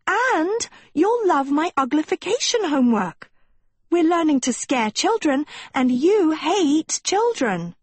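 A woman's voice: sits high, very animated, takes it slowly, a little mellow, no echo, voice pushed up, heavily acted. A woman voicing a dramatic, indignant and pleading teenage girl in a storybook, with theatrical emphasis. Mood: excited, wheedling. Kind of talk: acted storybook dialogue.

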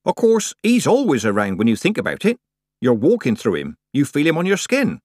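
A man voicing a brisk, cheeky elderly village witch woman in an audiobook, with a folksy, blunt, teasing manner. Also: medium pitch, huge pitch swings, a little mellow, no room sound, voice pushed up. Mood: chatty, amused. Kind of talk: audiobook character voice.

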